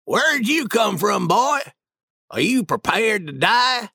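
A man voicing a drunk, rowdy old man who bellows threats in a high, strained voice. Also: sing-song, takes it slowly, creaky, bright, forceful tone, full character voice. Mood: menacing.

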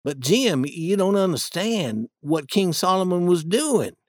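A man's voice: high voice, very animated, measured pace, dry recording, studio quality. A man reading an audiobook, voicing a young boy who patiently reasons an argument step by step. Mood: reasoning.

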